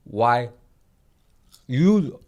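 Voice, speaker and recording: A man's voice: mid-range voice, big pitch swings, extremely slow, darkish tone. A young man from Taiwan, co-hosting a casual comedy podcast, rambling through stories and acting out the quotes. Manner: rambling storyteller, acts out quotes. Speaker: podcast co-host.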